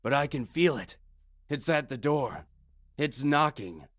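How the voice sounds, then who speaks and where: A man's voice: on the high side, very slow, dry recording, clean studio sound, pitched above the performer's own voice. A man voicing a panicked male prisoner trapped on the floor below, shrieking in terror. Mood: uneasy.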